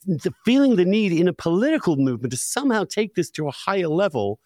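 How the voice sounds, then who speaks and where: A man's voice: high-pitched, big pitch swings, fast talker, a little mellow, dry recording, clean studio sound. A middle-aged man hosting a podcast discussion, speaking in an emphatic, opinionated, conversational way. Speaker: podcast host.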